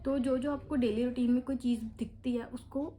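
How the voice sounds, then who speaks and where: A woman's voice: on the high side, fairly even intonation, moderate pace, dark tone, slight background noise. Chatty young Indian woman vlogger. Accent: Indian English. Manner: chatty, mixes Hindi and English freely. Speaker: vlogger giving exam tips. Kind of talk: casual tutorial talk to camera.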